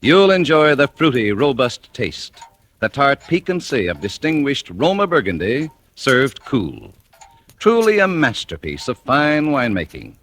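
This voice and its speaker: A man's voice: mid-range voice, big pitch swings, a little slow, fairly bright tone. A man with an American accent reading a 1940s radio advertisement as a polished, emphatic announcer. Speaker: sponsor announcer. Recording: faintly noisy old radio recording.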